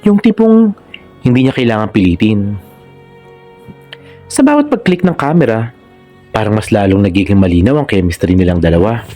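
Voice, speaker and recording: Filipino man narrating with lively pitch. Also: moderate pace, pauses often, soft background music. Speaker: narrator and channel host. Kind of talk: scripted romantic story narration.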